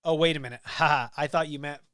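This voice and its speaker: A man's voice: on the high side, fairly even intonation, brisk, a bit bright, smooth, dry recording, studio quality. A Midwestern man hosting a casual live stream, reading viewer comments and rambling easily with frequent ums and restarts. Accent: Midwestern American. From American Midwest. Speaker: livestream host.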